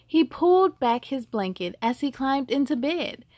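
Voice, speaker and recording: High, animated woman reading to children. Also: unhurried, no room sound. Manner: expressive, addresses young viewers directly. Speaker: story-time host. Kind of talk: children's storybook read-aloud.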